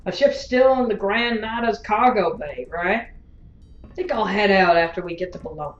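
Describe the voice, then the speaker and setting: A woman's voice: mid-range voice, normal speed, highs cut off, natural voice. Lady playing a wry female space mercenary in an audio drama, blunt, roomy audio, little bit of music. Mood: wry, defiant. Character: wry, independent woman gunslinger, a drifting space mercenary. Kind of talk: science fiction audio drama acting.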